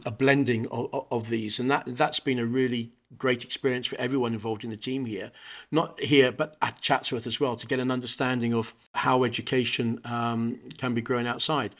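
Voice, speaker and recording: A middle-aged man speaks at an ordinary, medium pitch. He talks with earnest conviction, often restarting and repeating words as he works through his thoughts, in a relaxed podcast conversation. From UK and Hong Kong. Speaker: founding school principal.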